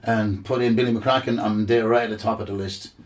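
A man's voice: on the low side, fairly even intonation, fairly quick. Rambling enthusiastic man, mic a bit far off. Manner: enthusiastic, rambling with repeated words. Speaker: guest musician. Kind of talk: casual chat.

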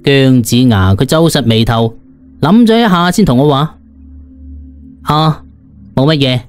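A man telling a dramatised story in the first person, in a conversational, expressive way. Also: medium pitch, unhurried, clear, resonant tone, soft background music. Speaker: audiobook narrator.

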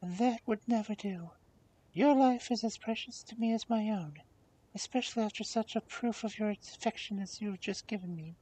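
A kind young princess from a fairy tale, read by a man in character. The voice is raised high, gentle and sincere, with a quiet dignity. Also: normal speed, breathy edge, poor audio. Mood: earnest. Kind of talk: storybook character voice.

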